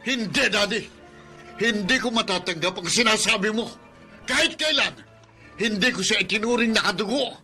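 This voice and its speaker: A man's voice: sits high, moderate pace, bright, forceful tone, soft background music. A man acting a hot-tempered, jealous elder brother in a Filipino radio drama, taunting and emphatic. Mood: furious, defiant.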